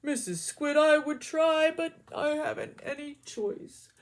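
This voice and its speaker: A woman voicing a gloomy, pouty male fish in a picture book read-aloud, in a slow, dreary sing-song. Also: high voice, voice pushed up. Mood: glum.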